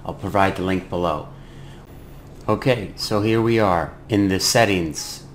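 A middle-aged man narrating a software tutorial as its host. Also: low voice, unhurried. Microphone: close desktop microphone. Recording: clear background noise.

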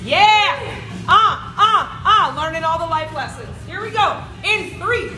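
A high-pitched, bubbly woman in her thirties leads a live workout, cheering people on and calling out the moves. She is recorded on a camera mic across a large gym, so her voice rings around the hard walls. Upbeat workout music plays underneath her. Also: expressive, slow. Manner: bubbly, encouraging, cheering and counting down. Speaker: fitness coach. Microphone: camera mic a few steps away. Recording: echoey gym with music behind.

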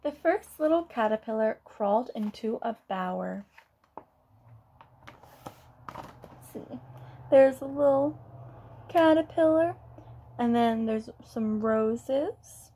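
A woman's voice: a little high, animated, slow, pauses often, warm tone, breathy edge. A young woman reading a picture book aloud to children, adding exclaiming, casual asides as a teacher would. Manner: exclaiming, child-directed commentary.

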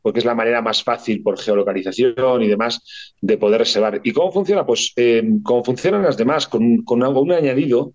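A man's voice: mid-range voice, fairly quick, darkish tone, muffled recording. Spanish man explaining with hesitant fillers. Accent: Spanish from Spain. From Spain, Barcelona. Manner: fluent explaining with frequent hesitations and repeated words. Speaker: startup founder and CEO, guest. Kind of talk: interview answers.

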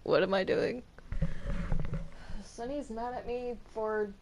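Slow, creaky-voiced gamer, hesitant. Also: mid-range voice, steady tone. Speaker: player in a Minecraft multiplayer roleplay. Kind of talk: casual gameplay chat with roleplay. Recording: noisy home game recording.

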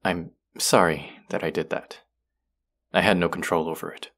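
Give the low, gravelly voice of a young male vampire, acted by a man in an intimate audio drama. He speaks earnestly, with a wry and protective streak. His deep register crackles with vocal fry. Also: fairly even intonation, moderate pace, fairly bright tone. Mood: remorseful, weary.

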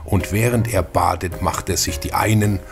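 A man narrating a radio crime drama in a measured, atmospheric storytelling manner. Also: deep voice, creaky, music in the background.

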